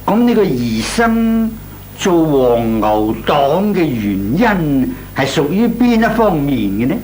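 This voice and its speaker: Slow, lilting old Cantonese gentleman. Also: a little high, big pitch swings. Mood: measured, advising. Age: older. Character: kindly, measured old gentleman, advice-giving elder. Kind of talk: old Hong Kong radio drama acting. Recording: old radio recording, faint noise.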